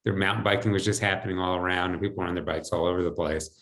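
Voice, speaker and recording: Low-pitched American man telling his story. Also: steady tone, very fast, fairly bright tone. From United States, New Jersey. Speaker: podcast guest, financial advisor. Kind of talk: conversational personal storytelling. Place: home, indoors. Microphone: video call computer mic.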